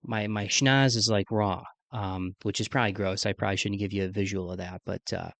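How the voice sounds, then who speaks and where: Low-voiced congested man, fairly even delivery. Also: a little fast, gravelly, dry recording. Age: mid-30s. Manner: laid-back slangy talk, says "man" often. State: congested from sinus trouble, voice deeper than usual. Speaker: podcast host. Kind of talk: casual solo podcast intro, chatty.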